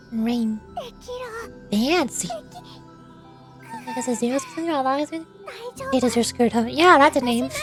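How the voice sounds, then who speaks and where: A woman livestreaming a game, chatting casually with viewers and tripping over hard words as she reads aloud. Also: sits high. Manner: chatty, stumbles over hard names, reading chat aloud. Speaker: VTuber game streamer. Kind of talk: casual livestream chat while gaming. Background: music.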